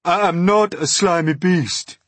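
A man's voice: sits high, measured pace, fairly bright tone, no room sound, pitched above the performer's own voice. A man voicing a prim, self-important male earthworm in a storybook, complaining with emphatic indignation. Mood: indignant.

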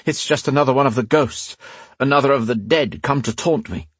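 A man voicing a wounded, delirious young man, raspy and out of breath with pain. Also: medium pitch, a little fast, no echo, pitched above the performer's own voice, natural voice. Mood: desperate. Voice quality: raspy, wheezing, strained.

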